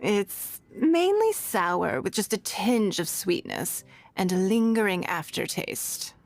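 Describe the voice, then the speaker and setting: An actress playing a dignified, shrewd noblewoman in a video game, measured and gently teasing. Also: medium pitch, very animated, no room sound. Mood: wistful. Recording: music behind the voice. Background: background music.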